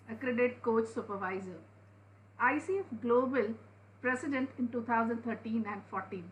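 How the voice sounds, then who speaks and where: A woman with an Indian English accent reads out a formal introduction as co-host of an online event. Her voice sits somewhat high. A faint noise runs under the video call audio. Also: rough recording. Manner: formal, warm praise of the speaker. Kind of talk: reading a speaker introduction.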